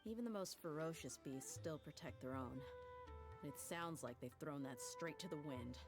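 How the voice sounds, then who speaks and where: A woman's voice: low-pitched, moderate pace. An actress playing a confident, seasoned, teasing female mercenary in a video game, with easygoing folksy phrasing. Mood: concerned, frank. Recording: game music behind the voice.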